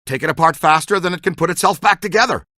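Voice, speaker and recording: A man's voice: fairly high pitch, lively intonation, quick pace, bright, forceful tone, dry recording, studio quality, heavily acted. A man acting as an eager, talkative ghost-hunting character in a video game, earnest and full of technical jargon. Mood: excited, fascinated. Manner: earnest, technical jargon, urgent call-outs.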